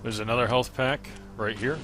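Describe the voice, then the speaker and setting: A man's voice: medium pitch, fairly even intonation, normal speed, over music. A man giving matter-of-fact, explanatory gameplay commentary as the narrator of a video game walkthrough. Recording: loud game audio behind voice. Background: shooter game sound effects.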